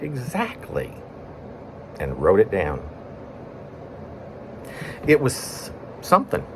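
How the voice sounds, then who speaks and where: Man, low-pitched voice, spoken-word poetry reading, halting short phrases, expressive rise and fall, faint room noise behind. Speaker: poet reading own poem.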